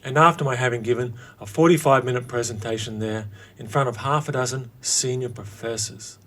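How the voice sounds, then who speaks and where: A man in his late forties narrating his own story as a host, in a wry, theatrical way with dramatic pauses. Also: medium pitch, pushed and bright. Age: late 40s. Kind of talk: scripted personal narration.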